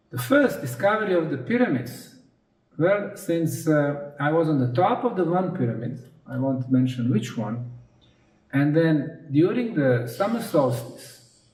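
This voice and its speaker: A man from Bosnia giving an explanatory lecture as a researcher, speaking in short, deliberate, emphatic phrases. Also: fairly high pitch. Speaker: researcher presenting his analysis. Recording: roomy echo, distant microphone.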